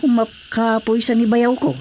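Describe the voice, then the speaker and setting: A woman's voice: fairly high pitch, little pitch movement, a little slow, dark tone, slight background noise, lightly acted. A woman playing a teasing, chatty countrywoman in an Ilocano radio comedy drama, speaking with an Ilocano accent.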